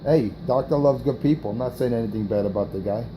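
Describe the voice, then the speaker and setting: A man's voice: mid-range voice, fast, noisy background. Brash trash-talking man on CB radio. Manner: brash, taunting, profane, repeats himself. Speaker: CB radio operator hosting an on-air morning show.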